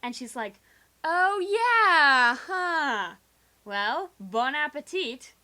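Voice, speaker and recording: A young woman doing a theatrical, sarcastic comic dramatic reading in slangy language, recorded quietly on a homemade game microphone rig. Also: sits high, sing-song, very slow. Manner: theatrical, sarcastic, slangy, acts out quoted dialogue. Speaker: storyteller. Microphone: video game microphone with improvised pop filter.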